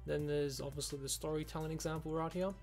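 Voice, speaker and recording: A man giving a casual, rambling software review, often saying "you know" and "like". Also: fairly high pitch, flat delivery, fast talker. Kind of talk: casual screen-recorded review. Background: background music.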